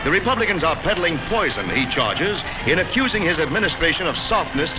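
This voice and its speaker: A man's voice: on the high side, sing-song, a little fast, over music, poor audio. An American man narrating a 1950s newsreel in a formal, emphatic announcer style, on a vintage soundtrack.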